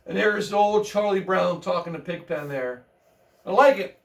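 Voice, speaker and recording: A man's voice: high-pitched, measured pace, vocal fry. A man reading a children's picture book aloud in a folksy, playful way, adding chatty asides and repeating himself. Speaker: bedtime story reader. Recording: roomy, echoey, distant microphone.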